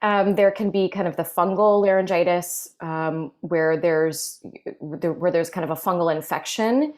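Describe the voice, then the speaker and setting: A woman voice clinician giving measured, explanatory interview answers with frequent fillers. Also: fairly low pitch, a little mellow. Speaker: voice clinical specialist.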